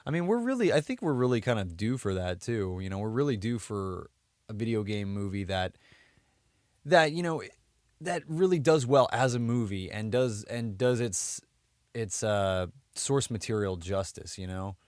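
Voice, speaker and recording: A man's voice: fairly low pitch, lively intonation, moderate pace, darkish tone, no room sound, studio quality. A man co-hosting a podcast, chatting casually and giving opinions in a rambling, conversational way. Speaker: podcast co-host.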